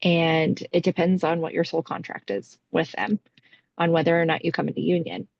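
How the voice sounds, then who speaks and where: Female podcast co-host. Slightly low, fry-heavy voice, emphatic explainer. Dry, slightly dull recording. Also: level tone, normal speed, no echo. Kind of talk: casual explanatory podcast talk.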